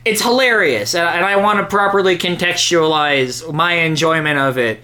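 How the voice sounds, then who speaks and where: Guy podcast cohost, bright kinda high voice, rambly sweary casual chat, big exaggerations. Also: moderate pace. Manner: rambling, profane, hyperbolic. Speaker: podcast co-host.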